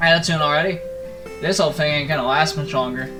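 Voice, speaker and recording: A young male hyena slacker from a visual novel , acted out by a man, grumbles and exclaims in a slightly high voice. Loud music runs behind him. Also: fast talker, recorded from a distance, lightly acted. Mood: irritated grumbling.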